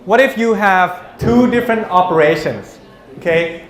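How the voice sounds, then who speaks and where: Slow-talking male lecturer, teasing students. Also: high-pitched. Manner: teasing, calls on students by name. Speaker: university lecturer. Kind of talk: classroom lecture. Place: lecture room. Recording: echoey lecture room.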